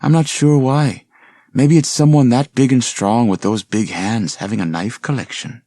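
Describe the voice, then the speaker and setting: A wary, uneasy wife in an audiobook thriller, acted by a gravelly man. The voice sits well above the reader's natural pitch, questioning and unsettled, with a dry close sound. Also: fairly even intonation, normal speed, dark tone, no room sound, close to an everyday voice.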